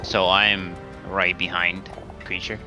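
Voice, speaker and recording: A man talking casually as a tabletop game player, tentatively thinking his moves out loud, with music behind him. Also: low-pitched, little pitch movement, takes it slowly.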